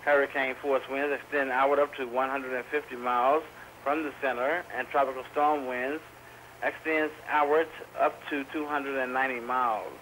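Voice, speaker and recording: A man's voice: mid-range voice, steady tone, moderate pace, a bit bright. Male weather radio reader, medium register, scripted forecast delivery, slightly noisy 1990s VHS-taped broadcast. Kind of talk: scripted weather bulletin reading. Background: faint radio noise.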